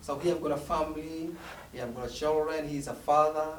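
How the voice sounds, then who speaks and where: A man's voice: a little high, fairly even intonation, fairly quick. Talkative man explaining. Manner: talkative, explains by comparisons, repeats words. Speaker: mosque community member. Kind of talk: casual interview explanation. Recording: roomy, distant mic, faint noise.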